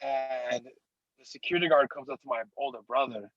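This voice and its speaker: A young man from Utah talks as a podcast guest in a middle-pitched voice, recorded close and dry with no room sound. He tells his stories in a relaxed, joking manner. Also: fairly quick, no room sound, lo-fi sound. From Utah, United States. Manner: joking, easygoing storyteller. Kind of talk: casual interview storytelling.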